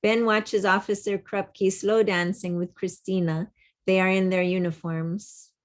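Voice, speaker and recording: A woman's voice: mid-range voice, level tone, measured pace. A woman reading out stage directions at a play's table read, in plain, clipped phrases. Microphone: video call.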